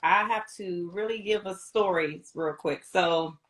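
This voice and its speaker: A woman's voice: lowish voice, measured pace. A middle-aged American woman from Texas talking casually as an interview guest, retelling her story informally with quoted bits of dialogue. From Houston, Texas, United States. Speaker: interview guest, radio promotions representative.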